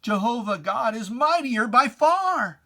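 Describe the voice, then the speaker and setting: A man's voice: very high voice, expressive, a little slow, sharp tone. A man giving a dramatic reading of religious verse in an emphatic, declamatory, theatrical manner. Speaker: poetry reader.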